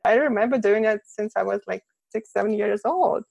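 A woman's voice: medium pitch, normal speed, vocal fry, darkish tone, no room sound. A woman from Russia with a Russian accent, a photographer being interviewed, chatting casually and laughing often between phrases. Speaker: guest, boudoir photographer.

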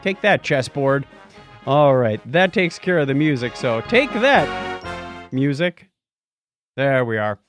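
A man's voice: mid-range voice, very animated, takes it slowly, long pauses, music in the background. A man co-hosting a podcast, explaining news items in a casual, jokey, conversational way. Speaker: podcast co-host.

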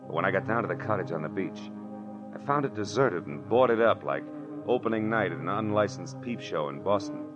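A man plays a tough private detective from a 1940s radio serial. His low voice is clipped and wry, full of hard-boiled sarcasm. Music runs behind the dull vintage recording. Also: lively intonation, a little fast, close to an everyday voice. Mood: wry narration. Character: tough, wisecracking male private detective narrating his case.

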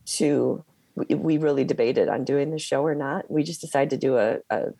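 A woman co-hosting a podcast, hoarse from losing her voice, talking in a halting, hesitant way with many pauses. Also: very deep voice, dark tone, dry recording. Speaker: podcast co-host. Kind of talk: casual conversation.

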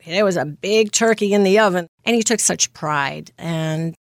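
Earnest woman, low-ish voice, dry sound. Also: normal speed, dry recording. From Greece. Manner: earnest, laughs often. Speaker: nurse practitioner, interview guest. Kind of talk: casual interview.

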